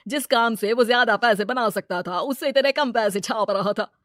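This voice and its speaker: Woman doing greedy moneylender guy in a story, brash n scheming, highish pitch, dry sound. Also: normal speed, a bit bright, dry recording, natural voice. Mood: gloating, scheming. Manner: brash, exclaiming. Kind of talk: animated moral-story character dialogue.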